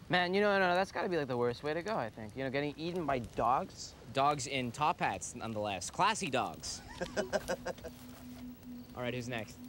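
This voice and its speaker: A man playing a cocky, wisecracking guy who tells friends a crude, slangy tale with dramatic pauses. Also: mid-range voice, animated, fairly bright tone, slight background noise, lightly acted. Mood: amused. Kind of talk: casual storytelling in a film-style drama. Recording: music behind the voice.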